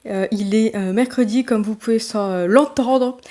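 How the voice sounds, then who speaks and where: A woman talking casually to her viewers about her reading, her voice mellow and warm. She rambles, restarting her sentences and filling gaps with small hesitations, and sounds stuffy and worn out from a cold. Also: on the high side, moderate pace. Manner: chatty, many fillers and restarts. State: congested with a summer cold, tired. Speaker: book vlogger. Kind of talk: casual vlog chat.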